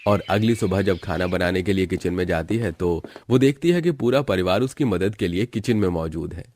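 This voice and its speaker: A man reading scripted storytelling narration for an animated family tale. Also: sits low, normal speed, no room sound, clean studio sound.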